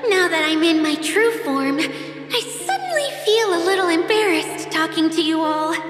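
A woman voicing a shy, earnest young female water spirit in a video game, speaking gently and sometimes hesitantly. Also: very high voice, very animated, moderate pace, faint music behind. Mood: bashful. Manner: hesitant, bashful, stammering when flustered.

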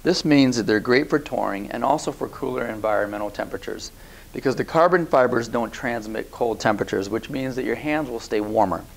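Gravelly man giving calm instructions. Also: mid-range voice, brisk, a little hiss or hum. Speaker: product demonstrator. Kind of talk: scripted instructional voice-over.

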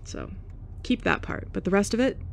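A young woman in a scripted roleplay monologue, abrasive and sarcastic, with awkward soft laughs and sighs. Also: medium pitch, lively intonation, moderate pace, vocal fry, slight background noise. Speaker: ASMR roleplay performer.

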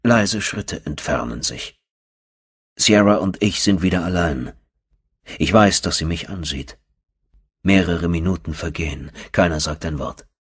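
A male audiobook narrator with a very low, rough-edged voice. He reads a first-person horror story dramatically, brooding and theatrical in his delivery. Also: a little slow.

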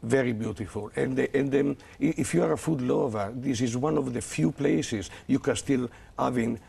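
A middle-aged man with an Italian accent, a chef speaking as a guest in a television studio and often pausing to search for English words. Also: mid-range voice, vocal fry, a crowd in the background. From Italy. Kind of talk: casual television interview. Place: morning television studio sofa.

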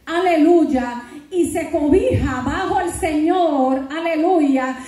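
A woman's voice: sits high, slow, mellow. A middle-aged woman pastor preaching a fervent sermon, peppering her words with hallelujahs and praise. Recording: very echoey hall, voice from far off.

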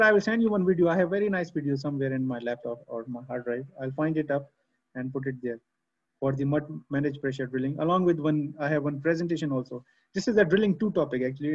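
Man in his thirties, fairly high voice with a husky edge, patient lecturer who keeps repeating terms and checking in with okay; lo-fi video-call audio. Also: quick pace. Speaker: engineering lecturer. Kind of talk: online lecture. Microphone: computer mic on a video call.

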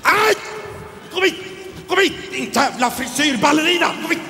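A man's voice: lowish voice, lively intonation, lightly acted. A man playing a fussy, bossy event host. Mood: furious. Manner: prim and bossy, bursting into shouting. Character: fussy, uptight male event host who loses his temper. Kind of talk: comedy sketch acting. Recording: echoey hall with crowd and noise.

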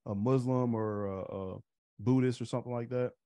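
A man in his thirties hosts an online meetup from home, close to the mic. His voice sounds dry and clear, with a mid-range pitch. He talks in a casual way, with relaxed uhs and restarts. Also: normal speed, no echo. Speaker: host. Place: home room indoors.

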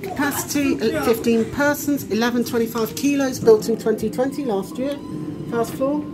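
A woman's voice: high-pitched, moderate pace. A woman giving casual running commentary on floors and specs while filming inside a mall lift on a handheld camera. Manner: plain narrating of floors and specs. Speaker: vlogger filming lifts. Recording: loud music under the voice. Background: loud music.

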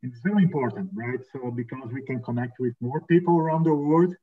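A man's voice: mid-range voice, a little fast, slightly rough. A man walks through his work on a webinar panel. He speaks earnestly, restarts words and keeps checking in with "right?". The video call audio is dull and lo-fi. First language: Portuguese. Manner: earnest, repeats words, many "right?" tags. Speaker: legal and compliance counsel.

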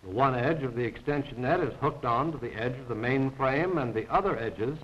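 A man's voice: mid-range voice, fairly quick, fairly bright tone, slight background noise. A man narrating a scripted 1940s military training film in a formal, precise, instructional manner. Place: voice-over recorded apart from the pictures. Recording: dull, band-limited 1940s film soundtrack.

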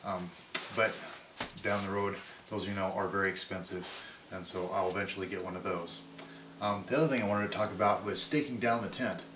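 A man in his thirties casually showing his outdoor gear from a table in his living room, pausing often with ums and restarting his sentences. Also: low voice, steady tone, echoey room, recorded from a distance, background noise. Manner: halting, many ums and restarts.

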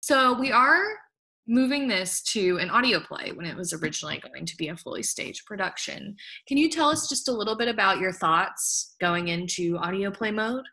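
A woman's voice: mid-range voice, animated, normal speed, vocal fry, pushed and bright, slightly rough, rough recording. Upbeat young woman interviewing on a video call. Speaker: interviewer for a theater's education program. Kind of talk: friendly interview questions. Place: home kitchen. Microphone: video call laptop mic.

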